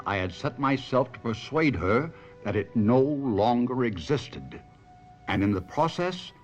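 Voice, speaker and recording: A man's voice: mid-range voice, lightly acted. An actor playing a devoted but restless husband, a ventriloquist telling his own story in a 1970s radio drama. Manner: dramatic, reflective first-person storytelling. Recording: muffled radio broadcast, music behind.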